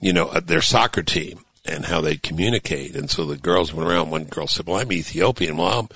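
An older man hosting a talk show, speaking thoughtfully with halting restarts that build to emphatic points. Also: deep voice, vocal fry, fairly bright tone, slightly rough, rough recording. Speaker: host and author. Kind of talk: casual radio talk show conversation.

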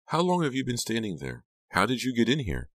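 A fragile, guarded teenage girl from a novel, acted by a male narrator. The voice sits higher than the narration and sounds hesitant and strained, in a very dry recording. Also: lively intonation, moderate pace, a bit bright, no echo. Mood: wary. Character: shaken, guarded high-school girl fighting back tears. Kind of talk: audiobook character dialogue.

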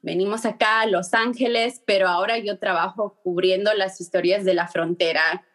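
A woman's voice: mid-range voice, steady tone, a bit bright. Woman answering many fillers. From Peru. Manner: halting, many fillers and restarts. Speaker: guest, journalist. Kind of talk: casual interview answers.

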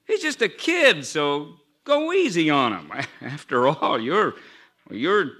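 Genial, very sharp-voiced foreman. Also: a little high, sing-song, slow, slightly rough, full character voice. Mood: amused, coaxing. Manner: genial, neighborly, chuckling. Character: genial factory foreman, male, chuckling and tactful. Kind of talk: 1940s-50s radio sitcom acting. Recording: vintage radio show recording.

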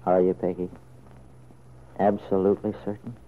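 An actor playing an anxious, tender middle-aged father in an old-time radio serial, speaking in a halting, earnest way. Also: low-pitched, little pitch movement, natural voice. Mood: hesitant, gentle. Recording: muffled, noisy vintage radio recording.

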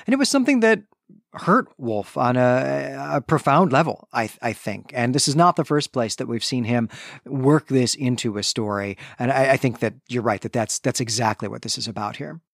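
A man's voice: mid-range voice, dry recording, studio quality. A man co-hosting a podcast, discussing literature conversationally and emphatically, often checking for agreement. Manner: emphatic, seeks agreement with tag questions. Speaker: podcast co-host.

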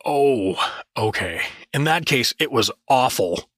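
A man's voice: medium pitch, expressive, slow, a bit bright, dry recording, clean studio sound. An actor playing a hapless, sarcastic everyman hero in a comedic fantasy audio drama, joking and hesitant.

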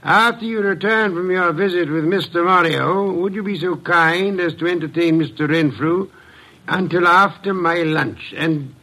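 An actor playing a fussy, imperious genius detective with precise, formal diction in an old-time radio drama. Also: male voice, sits high, moderate pace, pushed and bright, rough recording. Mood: imperious, testy. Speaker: private detective.

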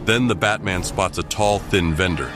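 A man narrating a scripted audio drama in a measured, dramatic storytelling manner. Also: deep voice. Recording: loud music behind.

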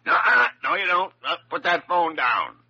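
An actor in an old radio drama playing a crotchety, blustering senior doctor, on a muffled vintage recording. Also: male voice, on the high side, big pitch swings, normal speed, noisy background. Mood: exasperated. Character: crusty, grumbling senior doctor, gruffly fond of his staff.

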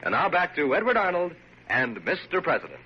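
Animated American radio announcer, higher voice. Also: male voice, brisk, dull audio. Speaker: network announcer. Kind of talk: old-time radio promotional announcing. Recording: noisy, band-limited old radio recording.

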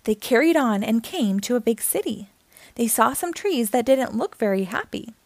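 A woman hosting storytime, reading a children's picture book aloud in an expressive, deliberate storytelling manner. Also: fairly high pitch, dry recording. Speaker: storytime host and reader.

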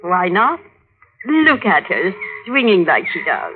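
Trzepotliwa, natrętna matka swatka, żywa intonacja. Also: female voice, mid-range voice, sing-song, slow. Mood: coy, insistent. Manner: hesitant yet insistent. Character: scheming, fluttery middle-aged mother pushing her daughter to marry. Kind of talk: 1950s radio drama acting. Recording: old narrowband radio recording, faint noise.

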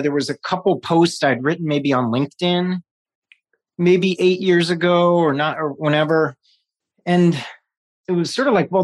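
A man in his forties from New York City, a guest talking loosely in an interview, with lots of restarts and fillers and frequent laughs. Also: on the high side. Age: 40s. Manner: rambling, many restarts and fillers, laughs often. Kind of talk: casual interview conversation.

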